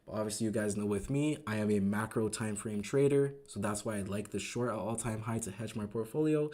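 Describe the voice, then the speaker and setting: A man hosting a trading live stream, recapping the market in casual, upbeat talk that keeps asking "right?", his voice growing a little raspy. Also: fairly low pitch, brisk, darkish tone. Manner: enthusiastic, repeats words, asks "right?" often. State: voice getting raspy.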